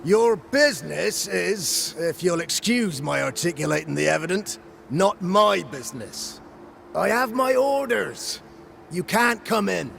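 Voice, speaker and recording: An actor playing a stubborn, wordy gate guard, chummy yet mock-formal, in a video game. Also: male voice, high voice, animated, a little slow, gravelly, a bit bright, a little hiss or hum, full character voice. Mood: officious, firm. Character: stubborn, wordy, duty-bound gatehouse guard. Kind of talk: dramatic video game acting.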